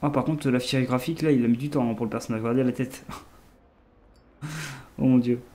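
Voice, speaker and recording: A man's voice: mid-range voice, steady tone, fairly quick, warm tone. Chatty man narrating his gameplay. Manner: chatty, rambling, self-correcting. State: stuffy, allergic sniffles. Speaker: gaming channel host. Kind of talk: let's play commentary.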